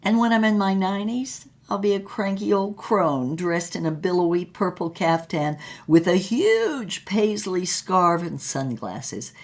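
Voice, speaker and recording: An actress playing a grandiose female film star reminiscing in a languid, theatrical monologue for an audio drama. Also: low voice. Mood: fervent. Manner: grandiose, languid, theatrical delivery with drawn-out words.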